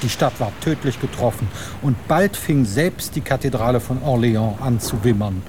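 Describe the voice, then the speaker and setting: An actor playing the dramatic, declamatory narrator of a grotesque, darkly comic radio tale. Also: male voice, mid-range voice, moderate pace, noisy background, close to an everyday voice. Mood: dramatic storytelling. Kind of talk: theatrical radio drama storytelling.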